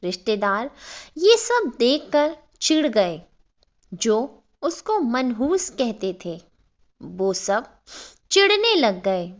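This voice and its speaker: Female storyteller, high voice with animated pitch, delivering scripted emotional narration phrase by phrase on a dull lo-fi recording. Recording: dull, lo-fi sound.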